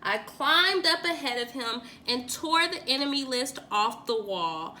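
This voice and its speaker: A woman reading a children's picture book aloud in an expressive, theatrical way, like a teacher acting it out for young pupils. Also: sits high, measured pace. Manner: theatrical, acts out the dialogue.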